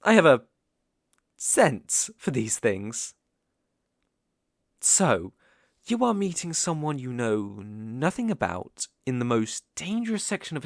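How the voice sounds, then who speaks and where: A man with a British accent playing a smooth-talking, sinister werewolf posing as a protective gentleman, speaking formally and measuredly in an ASMR roleplay. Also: medium pitch, dry recording, clean studio sound. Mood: probing, suave. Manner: courtly, formal, measured, insinuating. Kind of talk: ASMR roleplay audio acting.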